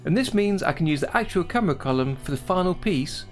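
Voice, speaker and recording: A man presents his animation project in a scripted voice-over. His voice sits a little high and moves animatedly up and down, with music playing softly behind it. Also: lively intonation, fast talker.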